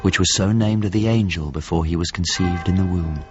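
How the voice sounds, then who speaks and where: A male actor playing the grave narrator of a radio drama, gravelly, measured and solemn as he describes things in literary prose. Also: low voice, muffled recording, over music, close to an everyday voice. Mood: solemn, observant. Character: grave male narrator recalling paintings and past events.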